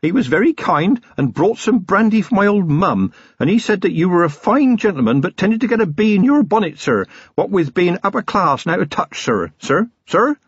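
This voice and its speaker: A man's voice: high-pitched, sing-song, moderate pace, few pauses, husky, no echo, highs cut off, pitched above the performer's own voice, heavily acted. A man voicing an eager, naive young policeman with an earnest, rambling and anxiously deferential manner, in an audiobook story. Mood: polite, earnest. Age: young adult.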